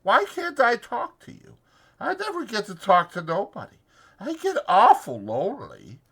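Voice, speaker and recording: High-pitched, coaxing young wife. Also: male voice, huge pitch swings, normal speed, a bit bright, slightly rough, exaggerated voice. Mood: lonely, pleading. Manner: coaxing, folksy uneducated speech. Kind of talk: dramatized audiobook character acting.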